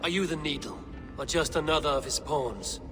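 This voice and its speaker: A defiant Viking warrior from a video game, voiced by a man, blunt and challenging. The voice is fairly high for a man and rings in long reverb over music. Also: normal speed, lightly acted. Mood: suspicious, confronting. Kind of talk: dramatic video game acting.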